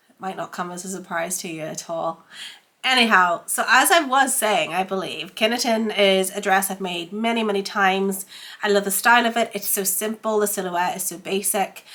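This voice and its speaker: A woman's voice: mid-range voice, sharp tone. A woman with a congested, head-cold voice, chatting casually as the host of a sewing vlog while feeling under the weather. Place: home sewing room.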